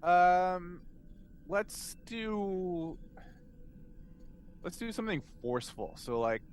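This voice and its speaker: A man's voice: sits high, very animated, takes it slowly. A man chatting casually as a player in a tabletop game, hesitant and playfully joking. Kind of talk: casual chat. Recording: faintly noisy background.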